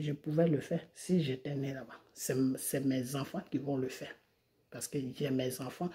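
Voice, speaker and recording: A woman's voice: low-pitched, dark tone. A woman from Côte d'Ivoire, a video creator, delivering an indignant monologue to the camera and hammering home rhetorical questions. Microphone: phone or camera mic.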